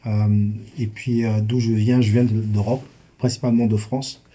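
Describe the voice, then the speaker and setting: A man of Congolese and Angolan roots, a podcast guest, speaking thoughtfully with many pauses and repeats. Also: fairly low pitch, little pitch movement, mellow. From Congo-Brazzaville and Angola. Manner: thoughtful, hesitant, with many fillers and repeated words. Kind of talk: casual podcast chat.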